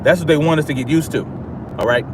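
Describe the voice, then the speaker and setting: American man, higher-pitched, emphatic livestream commentator. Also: fast talker, noisy background. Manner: emphatic, repeats phrases for stress. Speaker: livestream host. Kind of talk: casual livestream political commentary.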